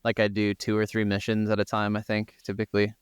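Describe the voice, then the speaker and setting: A man's voice: on the low side, completely flat delivery, fast, clear, resonant tone, dry recording, clean studio sound. A man chatting casually as co-host of a gaming podcast, telling things in an informal, meandering way. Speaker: video game podcast co-host.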